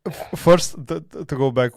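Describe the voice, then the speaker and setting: A man's voice: fairly high pitch, moderate pace, vocal fry, darkish tone, no room sound, studio quality. A man hosting a podcast, asking casual, jokey questions and often stumbling over the start of his sentences. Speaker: podcast host. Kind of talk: casual interview.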